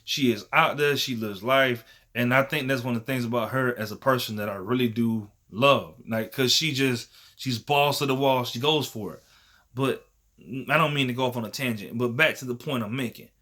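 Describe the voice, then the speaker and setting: Man, thirty, bright forceful voice, mid pitch, casual to-camera rambling, slangy, swears a lot. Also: normal speed. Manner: profane, slangy and rambling, with frequent "you know what I'm saying". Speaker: vlogger.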